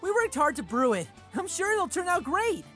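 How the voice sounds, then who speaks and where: Actress as young female companion, eager, curious, high-pitched, very animated, comic dub. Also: normal speed, faint music behind, exaggerated voice. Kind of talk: scripted comic dub acting.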